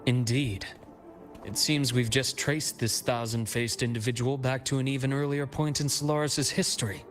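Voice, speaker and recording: An actor playing a composed, shrewd investigator in a video game, speaking in a measured, analytical way. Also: male voice, medium pitch, natural voice. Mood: composed, serious. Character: composed, shrewd male investigator sharing intelligence. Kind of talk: scripted video game dialogue.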